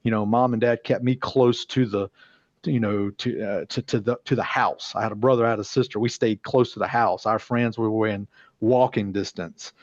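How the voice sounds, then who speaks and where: A man's voice: fairly low pitch, fairly even intonation, moderate pace, dry recording. A man co-hosting an EMS podcast, speaking thoughtfully and searching for words. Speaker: podcast co-host. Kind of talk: casual chat. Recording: dry, dull lo-fi audio.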